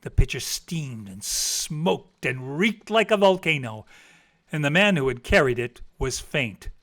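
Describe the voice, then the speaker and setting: A man reading a classic story aloud in a theatrical, expressive and deliberate literary style. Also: a little high. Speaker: storybook reader.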